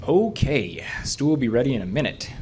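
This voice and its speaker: Guy acting as a careful skeptical park service man, bit nervous, gently scolding, horror audio drama. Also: mid-range voice, sing-song, a little fast, background noise, lightly acted. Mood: cautious, mildly scolding. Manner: cautious, hesitant, gently scolding.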